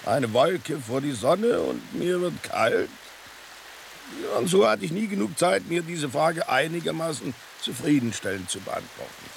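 An actor playing a worldly, philosophical stray tomcat in a radio drama, with a sardonic, dismissive drawl. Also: male voice, on the high side, very animated, measured pace, creaky, exaggerated voice. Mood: musing. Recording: constant rain-like hiss behind the voice.

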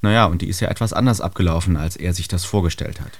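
Low-voiced male radio presenter, dry studio. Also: fast talker, vocal fry, no room sound. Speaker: radio show host.

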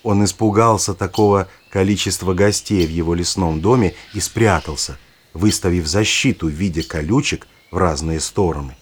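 An older male actor playing a kindly, knowledgeable grandfather who explains nature to his granddaughter in a husky voice, in a children's audio play. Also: low voice, a little hiss or hum, natural voice. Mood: instructive, engaged. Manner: unhurried, storytelling, instructive. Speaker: narrator-guide in an educational audio play.

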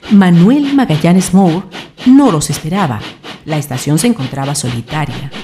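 A woman's voice: lowish voice, animated, moderate pace, mellow, a little hiss or hum. A woman reading scripted narration for a radio drama.

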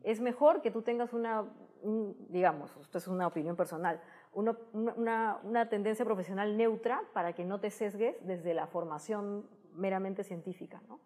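A woman's voice: medium pitch, moderate pace, dark tone. A woman with a Peruvian Spanish accent giving explanatory, conversational interview answers, often ending phrases with a tag question. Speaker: interview guest, science communicator.

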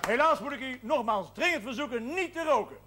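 An actor playing a jovial, formal union man hosting a village film evening in a television drama, with a pompous, halting manner. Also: very high voice, animated, heavy background noise. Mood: formal, festive. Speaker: master of ceremonies. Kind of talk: ceremonial public address in a television drama. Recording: loud music behind, 1970s television soundtrack.

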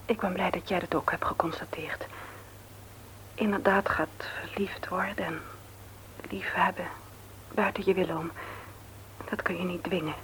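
An actress playing a gentle, tactful woman who is reluctant in love, in a radio drama. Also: lowish voice, fairly even intonation, unhurried, pauses often, a little hiss or hum, rough recording. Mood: careful, regretful. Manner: hesitant, tactful, careful phrasing. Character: gentle, reluctant woman turning down her suitor. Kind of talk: intimate radio drama dialogue.

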